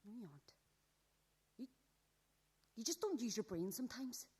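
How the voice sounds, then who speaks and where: A woman's voice: on the high side, sing-song, normal speed, dark tone, husky, heavily acted. A woman acting in a radio play as a blunt, sarcastic teenage schoolgirl who is exasperated but caring.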